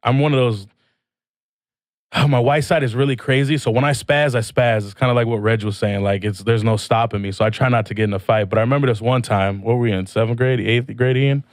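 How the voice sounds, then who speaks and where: A man's voice: mid-range voice, fairly even intonation, a little fast, no echo, studio quality. A man co-hosting a podcast, telling stories in a laid-back, joking way. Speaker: podcast co-host. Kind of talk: casual podcast chat.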